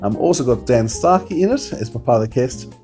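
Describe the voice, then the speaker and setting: A man's voice: medium pitch, lively intonation, brisk, warm tone. A man co-hosting a podcast in an informal, enthusiastic way, chatting and reading out notes. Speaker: podcast co-host. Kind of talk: casual podcast chat, reading credits. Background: acoustic guitar music.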